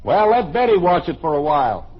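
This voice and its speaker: A man playing an impatient, wisecracking father and fishing buddy in an old-time radio comedy, with a husky voice. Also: fairly high pitch, sing-song, fairly quick. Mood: brisk, wry. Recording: muffled old radio recording.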